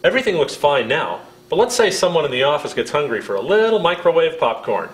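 A middle-aged American man presents a scripted demonstration in a roomy-sounding space. His voice sits slightly high and moves with plenty of melody. He explains each step in a friendly, instructional manner. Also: sing-song, fast, slight background noise. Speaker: product presenter.

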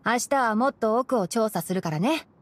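A woman playing a composed, knowledgeable young fox-eared male forest ranger in a Japanese game, explaining things in a measured way. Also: on the high side, expressive, dry recording. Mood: calm, kindly. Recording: game music behind the voice. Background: background game music.

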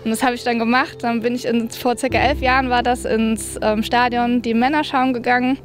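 A woman's voice: fairly high pitch, little pitch movement, a little fast, over music. A woman in her mid-twenties who plays football, telling how she came to the sport in a chatty way. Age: 20s. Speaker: amateur football player. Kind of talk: casual interview answers.

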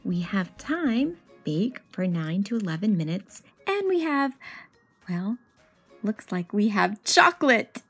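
A woman in her thirties giving an expressive picture-book read-aloud, animatedly addressing children with questions and asides. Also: mid-range voice, slow, slightly rough, soft background music.

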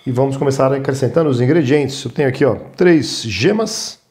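A man's voice: mid-range voice, fairly quick, darkish tone. Brazilian man casually teaching a recipe. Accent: Brazilian Portuguese. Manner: informal, instructive, frequent check-in tags. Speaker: cooking show host. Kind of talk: casual step-by-step cooking demonstration.

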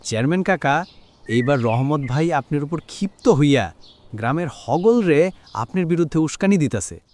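A man's voice: fairly high pitch, animated, normal speed, darkish tone, lightly acted. A man with a rural Bangladeshi accent voicing a village politician's obliging hanger-on in a cartoon, deferential and colloquial. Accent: rural Bangladeshi Bengali. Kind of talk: cartoon voice acting.

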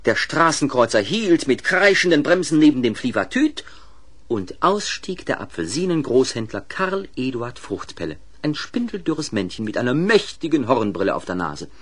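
Animated male storyteller. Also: medium pitch, moderate pace, breathy edge. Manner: vivid, dramatic storytelling. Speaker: narrator. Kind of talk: expressive storytelling narration for a children's radio play.

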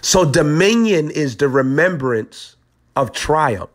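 A man preaching in a prophetic teaching style, deliberate and aphoristic, repeating phrases for emphasis. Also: fairly high pitch, animated. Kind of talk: prophetic teaching over a phone call.

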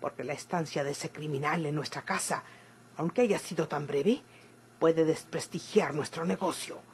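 A woman plays a stern, respectable Mexican mother in an old radio serial. She scolds in a deep female voice, with faint noise on the recording. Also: normal speed, darkish tone, close to an everyday voice. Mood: stern, indignant. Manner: scolding, proper. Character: stern, respectable middle-aged mother, boarding-house owner. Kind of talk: golden-age Mexican radio drama acting.

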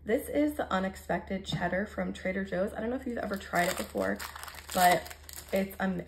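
An American woman in her thirties talks casually through home cooking. Her mid-pitched voice has a lot of fry in it. She explains each step in a chatty, informal way. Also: background noise. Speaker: home cook vlogger. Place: home kitchen, indoors.

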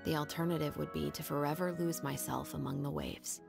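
A woman voicing a stoic young patrol captain in a game, speaking in a calm, measured, reserved way. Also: deep voice, vocal fry. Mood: solemn. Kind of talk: anime game dub. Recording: game audio under music.

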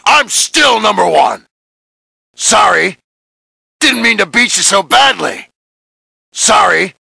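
An actor playing a burly, cocky, tough wrestler brawler in a fighting game, gruff and raspy, with short taunts and battle grunts. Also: male voice, high-pitched, sharp tone, no echo, clean studio sound, exaggerated voice. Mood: triumphant, boastful.

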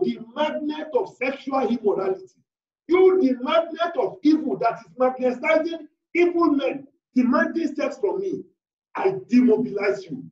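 A male pastor preaches and prays with a very high-pitched voice. He makes emphatic, repeated declarations. The video-call sound is distant and muffled. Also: roomy sound. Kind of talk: sermon and forceful prayer declarations on video call. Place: indoors, online video call. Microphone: video call device mic.

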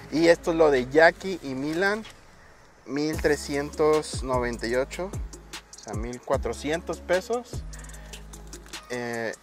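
A man in his thirties with a Mexican Spanish accent, chatting casually in a vlog as he shops for food and counts out prices aloud. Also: on the high side. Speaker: bodybuilder vlogger. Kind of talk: casual vlog, showing his weekly grocery shopping. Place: supermarket aisles, car and shops.

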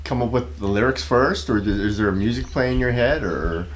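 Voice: mid-range voice, brisk. An interview host asking questions hesitantly, often restarting. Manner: hesitant, many fillers and restarts.

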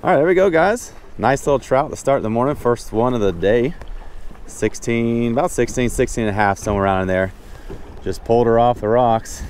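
A man's voice: mid-range voice, brisk, a little mellow. An American man giving chatty, folksy first-person fishing commentary to his viewers, recorded outdoors on a boat. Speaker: fishing vlogger. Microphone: action camera worn on body. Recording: outdoor noise on open boat.

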